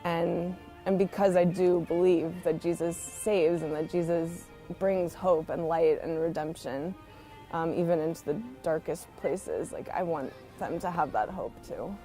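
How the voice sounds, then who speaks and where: This is a woman with a mellow, slightly low voice. She speaks in an earnest, informal and conversational way, like a missionary teacher sharing a personal testimony. Faint music plays behind her as she talks. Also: a little slow, rough recording. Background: background music.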